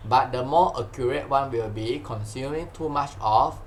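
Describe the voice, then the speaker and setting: A man's voice: mid-range voice, moderate pace, slight background noise. A man with a Malaysian accent teaching science informally, repeating phrases and tagging sentences with "lah" and "huh". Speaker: science tutor. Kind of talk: informal exam-question lesson.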